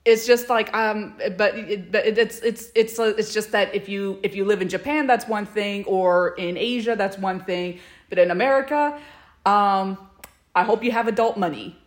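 A woman's voice: medium pitch, normal speed. A woman co-hosting a podcast in casual chat, with a hesitant, meandering way of talking. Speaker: podcast co-host.

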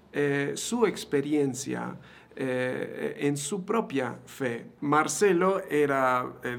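A man in his thirties with an Argentine Spanish accent speaks as a podcast host. His voice sits at a middling pitch and has a noticeable fry in it. The delivery is conversational, made for interviews. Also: fairly bright tone. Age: 30s.

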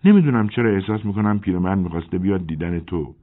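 A man voicing a chatty, excitable young woman in an audiobook, with a husky tone on tinny phone-like audio. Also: low voice, close to an everyday voice. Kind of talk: audiobook character voice.

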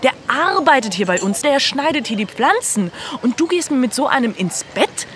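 A woman's voice: sits high, very animated, normal speed, fairly bright tone, slight background noise, pitched above the performer's own voice. A woman voicing a stern, angrily reproachful rich father in colloquial storytelling. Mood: angry reproach.

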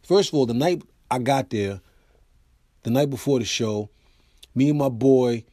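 American man, podcast host, animated storyteller, dry close sound. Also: mid-range voice, unhurried, no room sound. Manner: animated storyteller, acts out quoted voices. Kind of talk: casual storytelling monologue.

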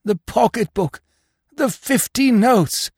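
A man's voice: fairly high pitch, wildly swooping pitch, normal speed, breathy edge, no room sound, studio quality, voice pushed up, heavily acted. A man voicing a respectable, easily stricken husband in an audiobook. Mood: stricken, moaning. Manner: stammering, moaning when distressed. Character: respectable husband, assured at first, then bewildered and stricken. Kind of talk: audiobook character voice.

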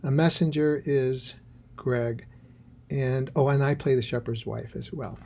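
Mellow man introducing a play, hesitant. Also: mid-range voice, fairly even intonation, measured pace, creaky, slight background noise. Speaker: host introducing a radio play. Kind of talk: informal spoken introduction.

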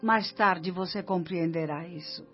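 An actor playing a calm spirit guide who explains things formally and didactically in a radio drama. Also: male voice, high voice, measured pace. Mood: instructive. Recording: dull lo-fi radio sound with music.

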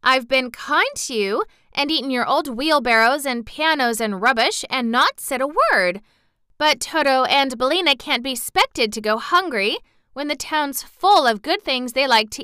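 A spirited young girl, outspoken and quick to defend herself, as a woman reader puts her on. Her voice lifts noticeably high and bright and stays crisp and clear. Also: no echo, studio quality. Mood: indignant. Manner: outspoken, indignant.

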